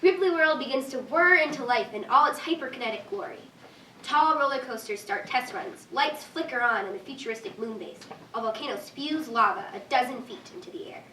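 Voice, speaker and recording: A woman's voice: sits high, brisk, pushed and bright. A woman reading scripted stage directions as narrator at a live staged reading. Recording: distant echoey room, faint noise.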